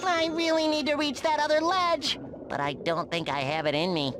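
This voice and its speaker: Cartoon sea sponge, high-pitched, very animated, childlike, cheerful, exclamatory, game dialogue. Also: male voice, normal speed, background noise. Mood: earnest, cheerful. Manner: exclamatory, earnest, over-polite.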